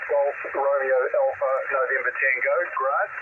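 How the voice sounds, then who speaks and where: A man, chatting courteously as a ham radio operator over a radio transmission, with frequent pauses. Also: mid-range voice, flat delivery, narrow, tinny audio. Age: 60s. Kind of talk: casual radio contact chat. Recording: very noisy band-limited shortwave radio signal.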